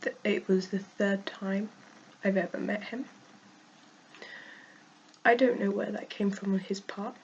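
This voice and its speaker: Female vlogger, croaky from a cold, frank and exasperated, laughs a lot; slightly dull, faintly noisy recording. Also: medium pitch, steady tone, measured pace, pauses often, a little mellow. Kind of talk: casual vlog rant.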